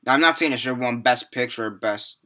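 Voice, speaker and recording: Rambling teenage guy with a bright voice. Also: medium pitch, quick pace. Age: teens. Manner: rambling, filler-heavy, swears casually. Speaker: movie reviewer. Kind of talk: casual unscripted movie review.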